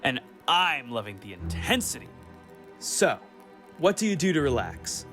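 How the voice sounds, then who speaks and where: An actor playing an eerily welcoming, unctuous corporate job interviewer in a radio play. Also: male voice, medium pitch, very animated, a little slow, a bit bright, faint music behind. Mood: satisfied, sinister.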